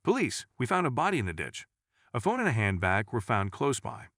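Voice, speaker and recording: A man's voice: mid-range voice, big pitch swings, brisk, fairly bright tone, dry recording, clean studio sound. A man voicing a formal, businesslike policeman in a crime story.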